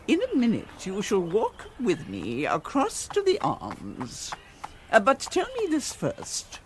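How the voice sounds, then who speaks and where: A woman's voice: mid-range voice, huge pitch swings, takes it slowly, full character voice. An imperious older titled lady in a radio comedy, upper-class English and grandly condescending. Her delivery swoops extravagantly up and down, teasing and lordly, over faint background noise. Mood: haughty. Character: haughty, imperious aristocratic English widow, fondly condescending. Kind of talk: comic radio drama acting.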